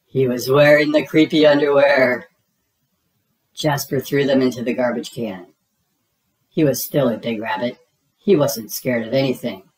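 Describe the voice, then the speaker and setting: A man reading a children's story aloud as narrator, dramatically, with suspenseful drawn-out emphasis. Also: mid-range voice, poor audio.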